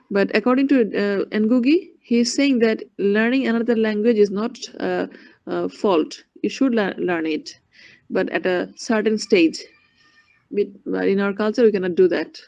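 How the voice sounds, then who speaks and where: Bangladeshi woman lecturer, mid-pitched, halting delivery, muffled dry sound. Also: darkish tone, no room sound. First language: Bengali. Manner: halting, with frequent restarts and spelled-out terms. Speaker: university teacher. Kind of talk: classroom lecture. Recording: dull, dry lo-fi recording.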